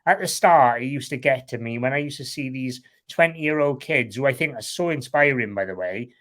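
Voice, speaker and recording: A man's voice: medium pitch, moderate pace, a bit bright. A man with a Welsh accent, a guest talking candidly in an interview, frank and rambling, swearing casually. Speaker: guest, online seller.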